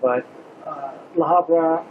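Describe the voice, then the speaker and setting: A man, a restaurant owner answering interview questions in a halting way, with many pauses and restarts. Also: fairly high pitch, steady tone, a little hiss or hum. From Lebanon. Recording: slightly dull lo-fi recording.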